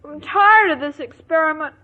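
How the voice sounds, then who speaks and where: An actress playing a dim, sweet, lovesick young bride-to-be, naive and plaintive, in a 1940s radio comedy. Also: female voice, normal speed, over a noisy crowd, lightly acted. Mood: miserable, pining. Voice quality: high girlish voice. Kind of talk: 1940s radio sitcom acting. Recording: muffled 1940s radio recording.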